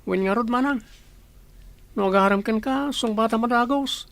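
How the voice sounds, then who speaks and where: A woman's voice: fairly high pitch, normal speed, gravelly, no room sound. An actress playing a curious, teasing younger female confidante with an Ilocano accent in a radio drama. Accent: Filipino, Ilocano.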